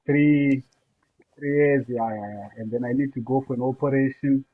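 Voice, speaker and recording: A man from Zimbabwe, a guest on a podcast, telling his life story in an unhurried, soft-spoken way. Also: mid-range voice, fairly even intonation. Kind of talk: casual interview over a call. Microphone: remote call line. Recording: tinny phone-like call line.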